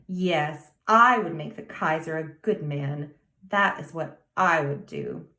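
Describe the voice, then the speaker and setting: A woman's voice: low voice, sing-song, slow pace, bright, forceful tone, roomy sound, pitched below the performer's own voice, full character voice. A woman voicing a serious, earnest small boy of nearly ten in a storybook reading. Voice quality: grave, emphatic childlike delivery.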